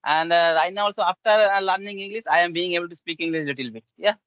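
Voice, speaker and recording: A native Bengali-speaking man with a Bengali accent, chatting in halting, simple learner English peppered with yeah and yes. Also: sits high, a bit bright, no room sound, highs cut off. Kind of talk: casual English practice conversation.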